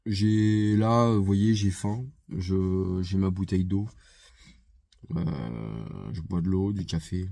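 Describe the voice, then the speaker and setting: A man's voice: low voice, slow, gravelly, dark tone, no echo. A man with a head cold, stuffy nose and sore throat, chatting casually to camera for a vlog, hesitant with many fillers and restarts. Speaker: vlogger. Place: outside a gym.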